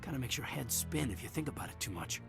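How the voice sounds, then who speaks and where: An actor playing an earnest, awed soldier squadmate in a sci-fi video game. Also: male voice, medium pitch, lively intonation, quick pace. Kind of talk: video game dialogue acting. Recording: quiet voice under clear game music.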